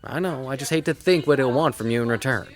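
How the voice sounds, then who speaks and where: An actor playing a serious, determined man in an audio soap opera, measured and earnest. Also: medium pitch, natural voice. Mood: grave. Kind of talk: soap-opera radio acting.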